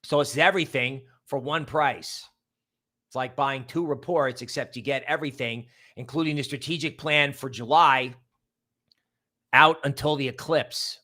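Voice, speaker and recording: A man's voice: medium pitch, normal speed, sharp tone. A man hosting a livestream in a casual, rambling, emphatic way, repeating words for stress. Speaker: livestream host. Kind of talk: casual livestream chat with viewer shout-outs and promotion.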